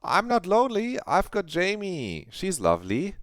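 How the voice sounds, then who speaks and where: A man's voice: high voice, huge pitch swings, normal speed, a bit bright, no echo, clean studio sound, pitched above the performer's own voice, exaggerated voice. A man voicing a sullen, guarded teenage schoolgirl who answers curtly and brushes off concern. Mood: evasive. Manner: evasive, curt, defensive.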